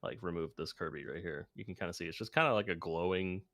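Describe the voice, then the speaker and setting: Deep-voiced man casually explaining his editing. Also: fast talker, a bit bright, no echo, studio quality. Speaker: video editor and streamer. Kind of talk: casual livestream chat and editing walkthrough.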